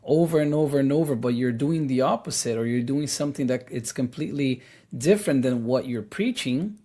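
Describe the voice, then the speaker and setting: A man's voice: mid-range voice, a little fast, mellow. A man speaking as a podcast guest, explaining his ideas earnestly and often saying "you know" and "like". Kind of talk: casual podcast conversation.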